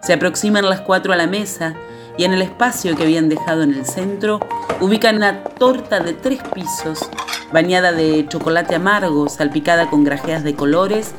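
A woman acting as a radio drama storyteller with a very low female voice. She narrates in measured, literary phrases, with clear guitar music behind her. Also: natural voice. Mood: measured, descriptive. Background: plucked guitar music.

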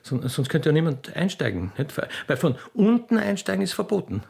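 A man voicing a grumpy, officious young country railway clerk girl with an Austrian accent, halting and cheeky, in a comic radio play. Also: fairly high pitch, sing-song, vocal fry, mellow, pitched above the performer's own voice. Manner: halting, stammering, pedantic, cheeky.

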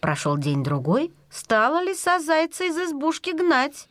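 A woman's voice: sits high, wildly swooping pitch, a little slow, music in the background, full character voice. A woman storyteller narrating a children's fairy tale expressively.

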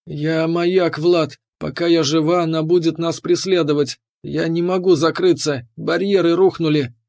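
A man's voice: fairly high pitch, steady tone, slow, creaky, a little mellow, smooth, no echo. A man voicing an anguished young woman soldier in an audiobook, pleading, tearful and strained as she fights for her mind. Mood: frightened. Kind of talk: dramatic audiobook character voice.

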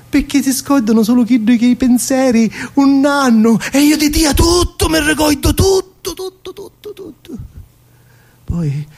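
High-voiced, slow Sicilian youth. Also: male voice, mellow, full character voice. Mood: tender, wistful, then bitter, brooding. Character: naive, emotional Palermo street youth grieving a lost friend. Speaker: stage actor performing a monologue. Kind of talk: theatrical radio monologue in dialect.

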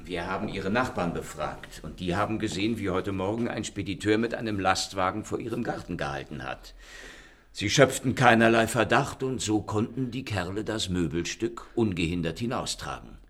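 An actor playing a composed, formal police inspector in a radio play. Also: male voice, low-pitched, lively intonation, moderate pace, a bit bright. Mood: businesslike. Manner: formal, businesslike.

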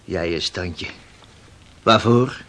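An actor playing a hot-tempered young sailor in a radio play, scornful and combative. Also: male voice, low voice, very slow, a bit bright, a little hiss or hum, exaggerated voice. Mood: bitter, mocking. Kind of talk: theatrical radio play acting. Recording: older radio drama recording.

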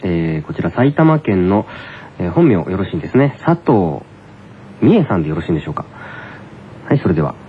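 A man's voice: low-pitched, big pitch swings, fairly quick, pauses often, a little hiss or hum. A man chatting and reading out on a casual radio show. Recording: narrow-band radio with faint music.

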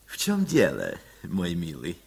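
Unctuous, creaky senior official. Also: male voice, sits low, huge pitch swings, a little slow, a little mellow, full character voice. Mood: oily, gentle. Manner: unctuous, exaggeratedly polite. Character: outwardly sweet, scheming senior councillor. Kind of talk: Soviet radio play acting.

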